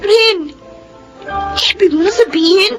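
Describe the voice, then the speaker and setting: A woman's voice: extremely high pitch, soft background music. An actress playing a frightened, tearful young daughter in a Filipino radio drama, pleading as she speaks. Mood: tearful, ashamed. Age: young adult. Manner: tearful, pleading, drawn-out delivery.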